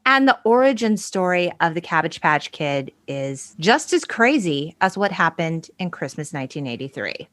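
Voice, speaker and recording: Animated woman podcast host, dry close sound. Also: fairly low pitch, normal speed, hardly any pauses, no echo. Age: 40s. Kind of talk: casual conversational storytelling.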